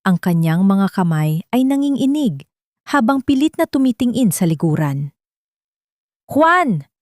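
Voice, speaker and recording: A woman's voice: mid-range voice, very animated, normal speed, mellow, no echo, clean studio sound. A woman narrating a dramatic audio love story as scripted storytelling.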